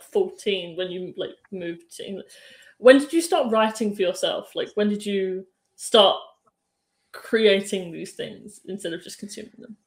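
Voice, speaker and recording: Woman interviewer, rambly and chatty, sounds kinda roomy and echoey. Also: mid-range voice. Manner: chatty, rambling questions with many fillers. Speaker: podcast interviewer. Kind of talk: casual interview chat.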